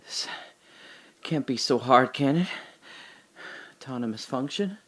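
An actor playing a terrified, confused man trapped alone in darkness, talking to himself in breathy fragments in an audio drama. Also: medium pitch, lively intonation, unhurried. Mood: hushed, anxious self-calming.

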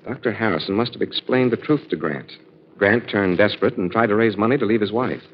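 An actor playing a calm, authoritative male sleuth in a measured 1940s radio drama style. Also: lowish voice, a little hiss or hum, close to an everyday voice. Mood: sober, explanatory. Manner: measured, assured, explanatory. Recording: muffled old radio recording.

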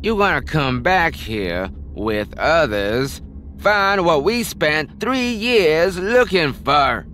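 A man's voice: fairly high pitch, big pitch swings, takes it slowly, pushed and bright, a little hiss or hum, heavily acted. A man playing a suspicious, sneering uncle who is hunting for gold.

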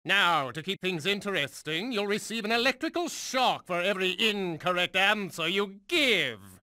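An actor voicing a megalomaniac mutant genius supervillain in a video game, condescending, mocking and theatrical like a cruel schoolmaster. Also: male voice, high voice, animated, moderate pace, pushed and bright, dry recording, clean studio sound, full character voice. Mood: smug, taunting. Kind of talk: theatrical cartoonish game voice acting.

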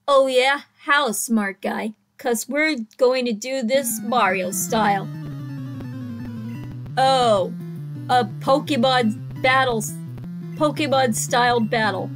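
A woman's voice: sits high, fairly bright tone. A young adult woman reacting to a video, reading out its captions and commenting, acting out the dialogue with exaggeration. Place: small indoor room. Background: music from the video being watched.

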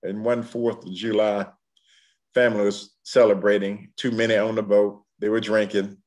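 A man's voice: on the low side, little pitch movement, moderate pace, darkish tone. A man in his sixties with a Southern US accent, a pastor preaching a sermon in rhythmic, repeated refrains. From Tennessee, United States. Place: room at home. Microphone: computer mic on video call.

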